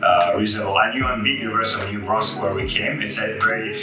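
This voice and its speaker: A young man from Iraq with Arabic as his first language talks in a low, relaxed voice, full of fillers and restarts. His voice carries a roomy echo, and guitar music plays clearly behind him. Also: fast. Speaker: guest. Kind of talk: casual interview chat.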